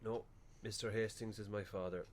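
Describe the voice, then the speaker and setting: Man acting an awkward, low-voiced Irish funeral director. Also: level tone, moderate pace, a little hiss or hum, natural voice. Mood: solicitous, hesitant. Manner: hesitant, rambling, bumbling. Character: awkward, chatty, well-meaning male funeral director. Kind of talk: radio play acting.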